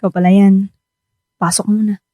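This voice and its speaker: A woman's voice: mid-range voice, little pitch movement, moderate pace, mellow, lightly acted. A woman playing a hurt, reproachful betrayed wife and young mother in a Filipino radio drama, with a Filipino accent. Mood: cold, bitter.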